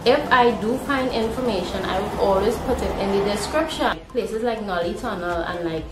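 A woman's voice: medium pitch, moderate pace. A young woman with a Trinidadian accent, chatting informally to camera as a vlogger. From Trinidad, born in Guyana. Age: young adult. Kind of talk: casual talking-to-camera vlog. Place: indoor room at home. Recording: roomy echo, music behind. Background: background music.

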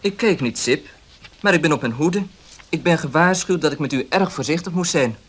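An actor playing a guarded young baker in a 1970s radio drama, speaking plainly and carefully. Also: male voice, on the high side, expressive, normal speed, fairly bright tone, husky.

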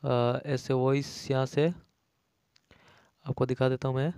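A man's voice: medium pitch, level tone, measured pace, a little mellow, clear, resonant tone, dry recording. A man giving a casual, repetitive step-by-step app tutorial as a voice-over, addressing viewers as friends. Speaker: tech tutorial YouTube host.

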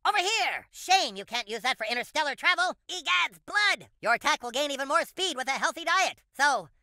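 A high, bright child's voice for a cartoon game. He is an earnest boy superhero from another planet, proclaiming everything with theatrical pomp and big swings in pitch. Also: sing-song, a little fast, no echo, studio quality, heavily acted. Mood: chirpy commentary. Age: child character. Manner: grandiose, theatrical superhero declarations. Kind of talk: cartoon video game voice lines.

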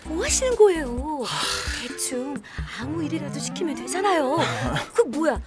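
A woman's voice: high-pitched, lively intonation, a little slow, over music. An actress in an audio drama playing a skittish, easily flustered female office worker. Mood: exasperated. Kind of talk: Korean audio drama acting.

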